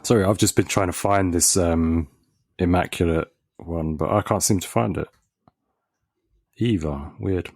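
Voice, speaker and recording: A man's voice: sits low, a little slow, long pauses, darkish tone, no room sound, studio quality. A man co-hosting a podcast, telling a story in a laid-back way with ums. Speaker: podcast co-host. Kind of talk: casual chat.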